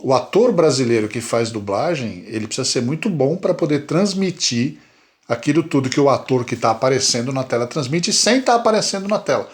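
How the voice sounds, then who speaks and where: A man's voice: mid-range voice, a little fast. Emphatic Brazilian man chatting. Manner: animated, emphatic, repeats words. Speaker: podcast co-host. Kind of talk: casual chat.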